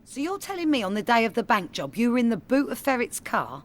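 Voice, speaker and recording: A woman plays a brassy London mother in a radio comedy. Her voice is high and swoops about in an animated way, blunt, nosy and exasperated. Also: fast talker. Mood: incredulous. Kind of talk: comedy radio play acting.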